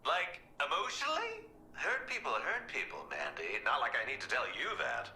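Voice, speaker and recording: An actor playing a manic, theatrical clown villain in a video game, mocking and flirtatious. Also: male voice, wildly swooping pitch, normal speed, pushed and bright, reverberant, faint music behind, full character voice. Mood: flippant, menacing. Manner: theatrical, taunting, swooping between shrill and low. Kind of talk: video game cutscene acting.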